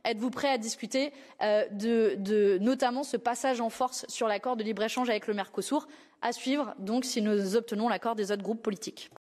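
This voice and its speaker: A woman's voice: on the high side, steady tone, normal speed, a little mellow. Combative woman at a press podium. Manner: combative, hesitant fillers, many restarts. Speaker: party group leader at a press briefing. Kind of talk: press conference answers. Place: press briefing room. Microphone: podium microphone.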